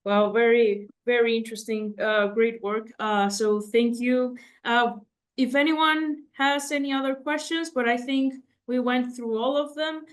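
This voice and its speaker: A woman's voice: fairly high pitch, steady tone, a little slow. A woman moderating a webinar, reading out audience questions steadily with brief acknowledgements.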